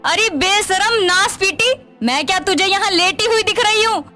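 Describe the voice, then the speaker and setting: Nagging cartoon mother-in-law, middle-aged, piercingly high and sharp, melodramatic, faint music behind. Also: moderate pace. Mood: wailing, scolding. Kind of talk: exaggerated Hindi cartoon story acting.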